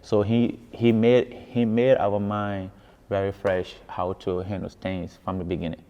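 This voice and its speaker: Deep-voiced Ghanaian man in echoey hall. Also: little pitch movement, a little slow, mellow. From West Africa, grew up in Ghana. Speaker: musician being interviewed. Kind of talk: casual interview storytelling. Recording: echoey hall, dull muffled sound.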